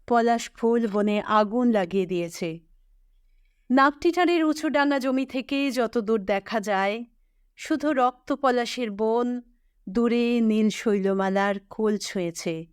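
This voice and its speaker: A woman, reading a scripted literary story as host in a measured, expressive way. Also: a little high, clean tone, dry recording, studio quality.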